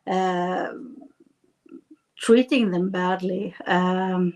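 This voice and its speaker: A woman speaking English as a politician guest, answering interview questions in an earnest, halting way with many pauses and fillers. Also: lowish voice, fairly even intonation, a little mellow, muffled recording. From Sweden. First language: Swedish.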